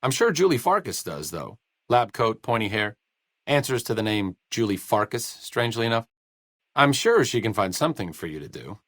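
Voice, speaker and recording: An actor playing a sarcastic, idealistic wasteland doctor in a video game, with an American accent and a dry, articulate manner. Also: male voice, lowish voice, big pitch swings, normal speed, fairly bright tone, dry recording. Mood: dry, explanatory. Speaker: video game companion character. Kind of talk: video game voice acting, conversational dialogue.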